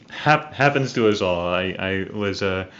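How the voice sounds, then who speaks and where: A man hosts a casual podcast, friendly and halting, with frequent fillers and restarts. His voice is fairly low. The audio is dull and lo-fi, like a remote call. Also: a bit bright, slight background noise. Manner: hesitant, lots of fillers, friendly. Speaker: podcast co-host.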